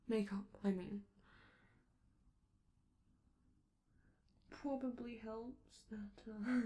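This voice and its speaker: A performer, a woman, playing a vain, affectionate and theatrical robot star in an ASMR roleplay, with halting, doting asides and a creaky voice. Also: mid-range voice, darkish tone, lightly acted. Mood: doting, relaxed.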